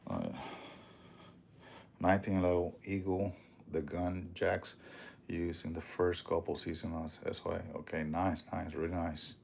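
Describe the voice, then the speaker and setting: A man's voice: low-pitched, little pitch movement, a little slow, vocal fry, a little mellow, a little hiss or hum. A man with a strong Puerto Rican accent hosting a casual livestream, rambling, swearing freely and answering viewer comments. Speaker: livestream host.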